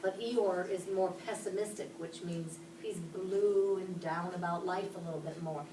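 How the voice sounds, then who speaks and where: A woman's voice: on the low side, steady tone, normal speed, noisy background. A woman from Pittsburgh teaching a class, explaining each step and asking the children questions. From Pittsburgh, Pennsylvania. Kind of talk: classroom instruction. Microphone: camera across the room. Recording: echoey classroom from far off.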